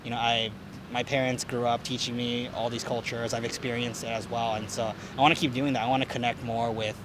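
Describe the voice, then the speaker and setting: A man's voice: mid-range voice, flat delivery, a little fast. American man, hesitant, busy street. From United States. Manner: hesitant, many fillers and restarts. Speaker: interviewee. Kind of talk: casual outdoor interview. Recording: street noise with traffic.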